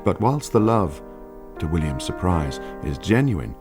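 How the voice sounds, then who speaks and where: A man narrating an audio drama as a deliberate, ominous storyteller. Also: low voice, big pitch swings. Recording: prominent piano music behind voice. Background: piano music.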